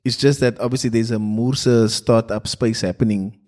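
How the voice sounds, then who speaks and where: A South African man co-hosting a podcast, speaking bluntly and casually with a good deal of cursing. His voice sits low, and his tone is mellow and relaxed. Afrikaans is his first language. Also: normal speed. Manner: blunt, swears freely. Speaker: podcast co-host. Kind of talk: casual chat.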